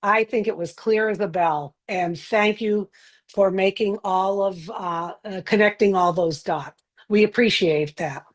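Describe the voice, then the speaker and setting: Female panel co-host, unhurried and halting, casual appreciative chat, mid-pitched voice.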